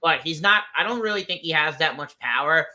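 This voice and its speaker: A man's voice: on the high side, expressive, a little fast, sharp tone. A man giving casual fight picks, blunt and rambling, leaning on "I feel like". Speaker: betting analyst guest.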